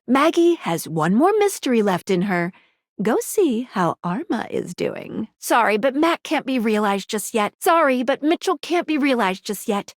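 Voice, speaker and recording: Perky young female video game helper: high-pitched, very animated delivery, playful, encouraging, quirky. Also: no echo, studio quality. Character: chipper, helpful young female magical guide, quirky and encouraging. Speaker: voice actor playing a guide character in a dating simulator game.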